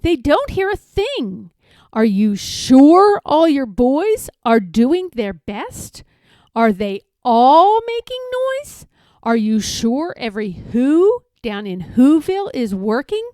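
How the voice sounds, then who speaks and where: A woman's voice: high-pitched, very animated, slow, darkish tone, smooth, dry recording, studio quality, full character voice. A woman voicing a big, loyal male elephant, earnest, urgent and encouraging, in a children's storybook. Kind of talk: children's storybook read-aloud.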